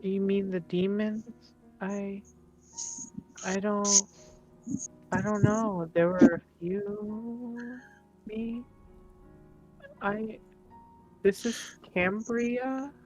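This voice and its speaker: A woman's voice: mid-range voice, fairly even intonation, extremely slow, pauses often, warm tone, soft background music, low-quality recording. A woman playing a dazed teenage boy in a tabletop game, hesitant, vague and repetitive, cheerfully denying trouble. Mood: confused.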